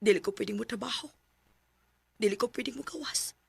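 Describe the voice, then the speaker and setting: An actress playing a long-suffering young wife and dutiful daughter in a Filipino radio drama, emotive and plaintive, with a Cebuano accent. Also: female voice, on the high side, fairly quick, gravelly, breathy edge, no room sound, exaggerated voice. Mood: plaintive, inward.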